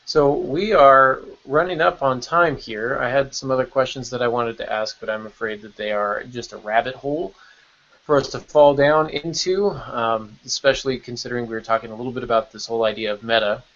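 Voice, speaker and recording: A man with a mid-pitched, creaky voice hosts a podcast. He talks casually as he moves the discussion along, on a slightly dull recording. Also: a little fast, fairly bright tone. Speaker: podcast host. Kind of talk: casual hosting chat. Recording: dull lo-fi audio.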